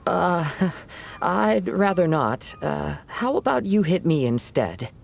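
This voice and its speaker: A man playing a calm, thoughtful young swordsman with a soft-spoken, measured manner in an English game dub. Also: fairly high pitch, gravelly, slight background noise, heavily acted. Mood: reluctant, amiable.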